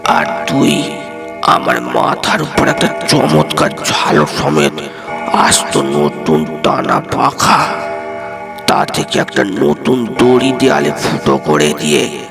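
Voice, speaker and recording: A creaky-voiced gentleman ghost-hunter, acted by a male narrator in an audio horror story. He speaks slowly and deliberately, savouring his words with an eerie weight, over music. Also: medium pitch, big pitch swings, heavily acted. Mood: pleased, savouring.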